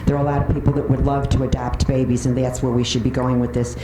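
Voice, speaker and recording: A woman's voice: very deep voice, flat delivery, fast talker. A woman giving a prepared public comment at a meeting, speaking in an earnest, pointed way. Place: indoor public meeting room. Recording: roomy, noisy, distant microphone with clicks.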